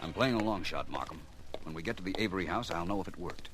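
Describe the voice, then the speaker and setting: An actor playing a shrewd, self-assured gentleman detective in an old radio drama, with precise, dry, controlled delivery. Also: low voice, animated, quick pace, loud music behind the voice, poor audio. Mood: confident. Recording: old radio recording, faint noise.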